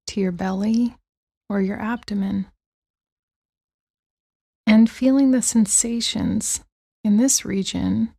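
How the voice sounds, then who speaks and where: A woman guides a meditation with a soft, mellow tone and a creaky edge. She speaks gently and very slowly, letting long pauses settle between her soothing phrases. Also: medium pitch. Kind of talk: guided body-scan meditation.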